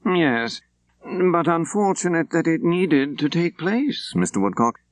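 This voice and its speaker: A prim English clergyman from an audiobook novel, played by a male narrator. He is formal and cautious, with a stern, measured manner, recorded close and dry. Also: a little high, sing-song, no echo, voice pushed up.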